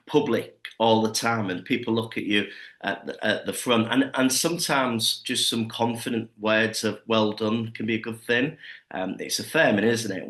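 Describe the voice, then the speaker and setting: A man's voice: fairly low pitch, a bit bright. A man who is a church minister, hosting a conversational interview in a friendly manner. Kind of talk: hosted interview. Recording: echoey room.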